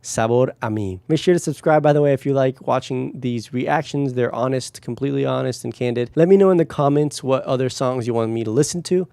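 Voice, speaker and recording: A man's voice: medium pitch, brisk, gravelly, few pauses, mellow, clean tone. A man from Guatemala, a music producer, talking casually as he reacts to songs and explains production techniques, humming beats along. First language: Spanish.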